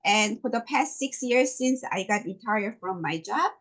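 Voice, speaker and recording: A woman's voice: mid-range voice, expressive, a little slow, a bit bright. A middle-aged woman from Korea with a Korean accent, giving an emphatic, repetitive motivational business presentation as a network marketing presenter.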